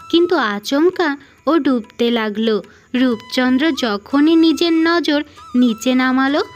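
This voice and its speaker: High-pitched woman narrating fairy tales. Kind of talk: scripted fairy-tale narration. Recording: music behind the voice.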